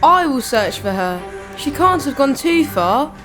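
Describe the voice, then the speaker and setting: A woman's voice: high-pitched, big pitch swings, a little slow, music in the background, voice pushed up. A woman voicing an earnest, caring young schoolboy in a children's storybook, full of questions. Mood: concerned.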